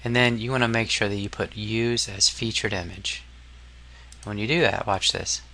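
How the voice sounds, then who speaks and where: A man narrating a casual software tutorial as an instructor, explaining step by step in an informal, somewhat hesitant way. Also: on the low side, steady tone, normal speed.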